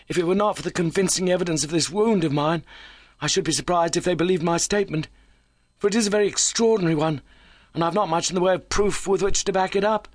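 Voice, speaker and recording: A man voicing an overwrought but plucky young injured engineer in an audiobook, excitable, earnest and well-mannered. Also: high-pitched, no echo, voice pushed up, close to an everyday voice. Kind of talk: audiobook character voice.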